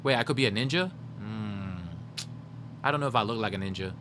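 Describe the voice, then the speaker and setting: A young man livestreaming, chatting casually with his viewers and rambling as he brainstorms jokes out loud. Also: fairly low pitch, brisk, noisy background. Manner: rambling, brainstorming jokes aloud, talking to chat. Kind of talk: casual chat with live viewers.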